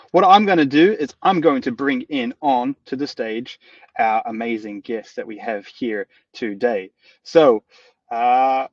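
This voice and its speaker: An upbeat man with a New Zealand accent hosts a live webinar, chatting freely and stumbling over fillers as he goes. His voice sits at an ordinary pitch. The sound is dull and dry, as if he is a little way back from a computer mic. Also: no echo, recorded from a distance. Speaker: webinar host.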